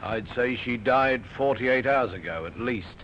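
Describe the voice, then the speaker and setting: An actor playing a careful police surgeon, dry and matter-of-fact, in an old radio drama. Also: male voice, medium pitch, lively intonation, unhurried, a bit bright. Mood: clinical. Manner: cautious, clinical, matter-of-fact. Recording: dull, noisy old radio recording.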